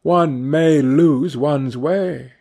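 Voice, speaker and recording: A man plays a languid, provocative aristocrat in a literary audiobook. He drawls his cynical epigrams very slowly, with a creaky edge. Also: on the high side, mellow, voice pushed up, full character voice. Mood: languid, teasing.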